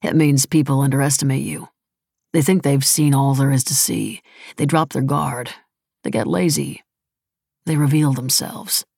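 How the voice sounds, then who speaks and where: A woman voicing a cool, calculating, controlled man in an audiobook, speaking in a measured, deliberate and persuasive way. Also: very deep voice, fairly even intonation, vocal fry, darkish tone, breathy edge, no echo, studio quality, full character voice. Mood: coolly amused.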